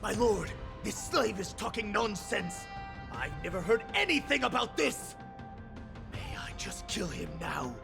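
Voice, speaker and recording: An actor playing a servile, cruel beast henchman in a video game, obsequious and sneering, with a gravelly voice. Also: sits high, sing-song, a little slow, over music, exaggerated voice. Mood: protesting. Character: scheming male beast henchman, servile to his lord, cruel. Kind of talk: dramatic video game acting.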